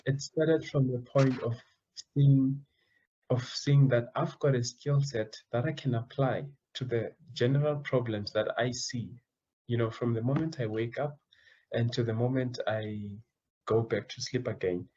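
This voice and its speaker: A man's voice: mid-range voice, unhurried, warm tone, slightly rough. Young guy, earnest, keeps restarting his words, kinda muffled lo-fi sound. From South Africa. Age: young adult. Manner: earnest, repeats words while searching for phrasing. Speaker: guest, student entrepreneur. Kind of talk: interview answers.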